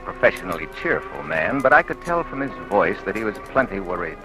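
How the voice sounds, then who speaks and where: A deep-voiced gentleman detective from a vintage radio mystery. He is measured and matter-of-fact, with lively swings in his intonation. Music runs along with the old recording. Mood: measured narrating. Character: blind male detective, calm, courteous, firm, narrating his case. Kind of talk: 1940s radio drama acting and first-person narration.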